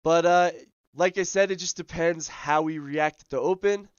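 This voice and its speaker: A man hosting a live day-trading stream, his voice scratchy as he loses it, talking through charts in a relaxed way full of ums and uhs. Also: a little high, normal speed, smooth, no echo, highs cut off. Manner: relaxed, filler-heavy, thinking aloud. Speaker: day trading livestream host. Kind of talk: live market commentary.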